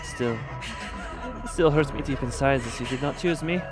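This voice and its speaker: A man's voice: medium pitch, moderate pace, lightly acted. Casting: male reader as bitter young swordswoman in a game story, taunting, wounded, music underneath. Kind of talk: dramatic reading of game story dialogue.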